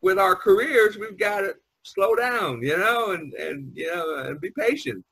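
Male podcast host. Higher-pitched, husky, very lively intonation; informal, jokey chat over a video call. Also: a little slow, low-quality recording. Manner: jokey, informal, acts out quotes. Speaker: podcast host and editor, artist. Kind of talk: casual chat. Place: online video conference call.

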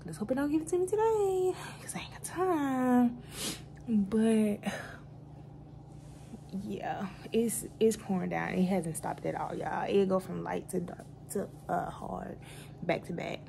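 Chatty young woman with a gravelly edge. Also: medium pitch, a little slow, slight background noise. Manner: chatty, rambling, relaxed, talks to viewers as y'all. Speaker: vlogger. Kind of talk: casual day-in-my-life vlog. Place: bedroom at home, indoors; later in a car in heavy rain. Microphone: phone camera held close at arm's length.

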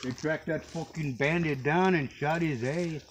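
A man's voice: on the high side, unhurried. A man swearing constantly as he trades crude jokes outdoors on a forest trail, recorded on a handheld phone. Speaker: joker in a prank video. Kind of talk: crude casual banter.

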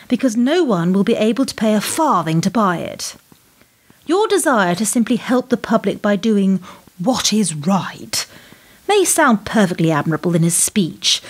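An actress playing a cool, menacing establishment power broker in a radio play, with a sardonic, condescending and rhetorical delivery. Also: female voice, mid-range voice, slightly rough.

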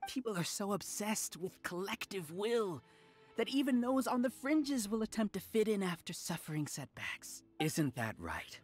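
Pompous game villain, animated delivery. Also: male voice, high voice, normal speed, faint music behind. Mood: lecturing. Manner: pompous, theatrical, formal speechmaking. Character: arrogant, resentful male researcher leading a hive mind. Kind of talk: anime video game villain acting.

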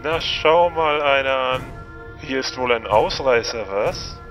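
A man playing a gruff, contemptuous guard with a mocking manner in a fantasy audio drama. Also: medium pitch, a little slow, fairly bright tone, faint music behind, lightly acted. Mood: mocking contempt. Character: gruff, contemptuous male guard catching an escaped child. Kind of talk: German fan audio drama acting.

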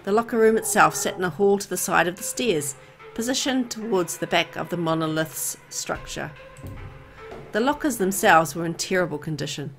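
A woman's voice: fairly low pitch, normal speed. Woman narrating over music. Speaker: gameplay narrator reading a visual novel. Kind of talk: scripted story narration.

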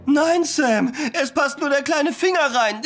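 Goofy, high-pitched cartoon firefighter. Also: male voice, measured pace, a bit bright, faint music behind, heavily acted. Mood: sheepish. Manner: goofy, eager. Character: hapless, good-natured young male firefighter. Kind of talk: animated children's cartoon dub.

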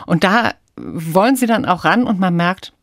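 A woman's voice: fairly low pitch, very animated, normal speed, no room sound, clean studio sound. A woman hosting a conversational radio interview, asking probing, thoughtful questions. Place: dry radio studio.

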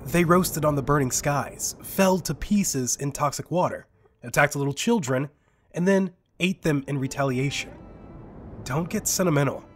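Man playing an arrogant, higher-voiced cynical survivor. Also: moderate pace, a little hiss or hum, close to an everyday voice. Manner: sardonic, swearing, condescending. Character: arrogant, irritable, cynical male survivor with a ship. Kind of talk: audio drama acting.

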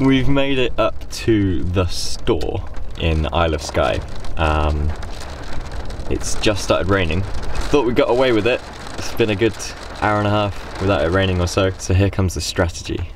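Young British male travel vlogger, casual and chatty, lower voice, noisy handheld camera sound. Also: a little slow. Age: young adult. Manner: chatty, laughs often, swears when caught in the rain. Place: outdoors in windy hills, in a car, in a rented room. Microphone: handheld camera at arm's length.

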